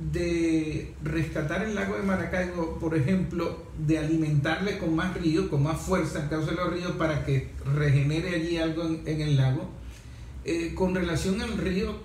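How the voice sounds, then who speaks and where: A man's voice: fairly high pitch, moderate pace, a little mellow. A man with a Venezuelan accent moderating a talk programme conversationally, reflective and often searching for his words. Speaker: host, moderator. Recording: echoey room, faint noise.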